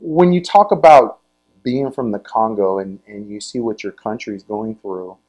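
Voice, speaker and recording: An American man hosting a conversational sports interview show, speaking in a halting way and repeating words as he goes. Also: lowish voice, a little mellow, rough recording.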